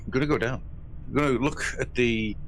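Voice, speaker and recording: A man's voice: mid-range voice, normal speed, a little hiss or hum, rough recording. A man playing a tabletop role-playing game, talking in a dry, playful way and speaking for his character. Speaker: player. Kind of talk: casual tabletop role-play.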